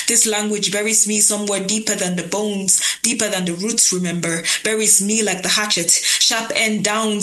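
A woman's voice: mid-range voice, fairly bright tone, poor audio. A young woman who is a slam poet, reciting spoken word with rhythmic emphasis and then talking casually in an interview. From Nigerian family.

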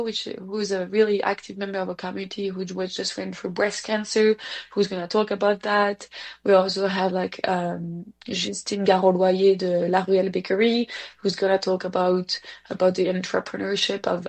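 A young woman from Belgium whose first language is French, speaking English with a Belgian French accent, giving chatty, rambling answers full of restarts in an interview about her community centre. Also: mid-range voice. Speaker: staff member at a francophone community centre.

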